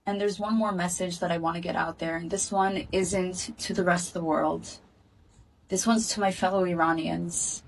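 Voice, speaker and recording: Woman, earnest statement to camera, steady and measured, slightly off-mic. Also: fairly low pitch, distant mic, a little hiss or hum, poor audio. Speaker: activist speaking in a video clip. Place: in Israel.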